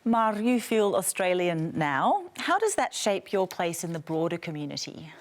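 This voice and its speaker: A woman with a fairly low voice hosts a studio discussion. She puts direct questions to her guests. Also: sing-song, normal speed. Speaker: host.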